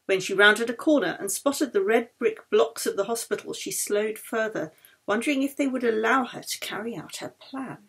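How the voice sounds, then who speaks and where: A woman narrates literary fiction in a fairly high voice with a slightly husky, breathy edge. She reads from a script as an expressive storyteller, shaping the prose like an audiobook. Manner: expressive storytelling, acts out the dialogue. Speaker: author reading own novel aloud.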